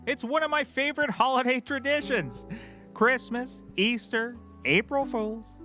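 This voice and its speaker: A man playing a petty, excitable, bombastic private investigator with a bickering, theatrical delivery in a comedy. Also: very high voice, a little slow, soft background music, exaggerated voice. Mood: gleeful.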